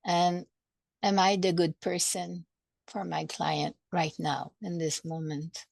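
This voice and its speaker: A woman in a coaching discussion speaking hesitantly and in a measured way. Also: lowish voice, flat delivery, vocal fry, darkish tone, dry recording.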